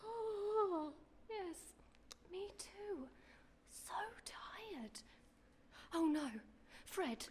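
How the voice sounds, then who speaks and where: A woman acts a genteel young sweetheart with a notably high voice. She is polite yet wary and speaks softly, in radio play style, with faint noise behind her. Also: animated, drawn-out words, long pauses, exaggerated voice. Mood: frightened.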